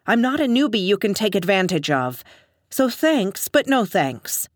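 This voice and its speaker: A woman's voice: mid-range voice, very animated, a little slow, no room sound, studio quality, pitched above the performer's own voice. A woman voicing a haughty, cool-headed heroine who gives clipped, defiant retorts. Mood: dismissive.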